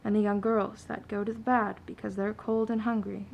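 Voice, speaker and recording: A defiant working-class mother played by a woman in a radio play, her voice thick with creak. She is poor, proud and plainspoken, a laundress who stands her ground. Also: mid-range voice, little pitch movement, moderate pace, dull audio. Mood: defiant, weary. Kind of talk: stage-style radio play acting.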